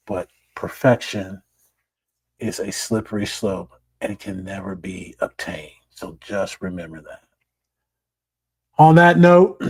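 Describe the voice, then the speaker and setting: A middle-aged man, a plastic surgeon, hosting his own podcast in a relaxed, conversational way, his voice raspy and hoarse after being ill. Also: on the low side, no echo. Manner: relaxed, conversational, repeats words. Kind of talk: solo podcast monologue.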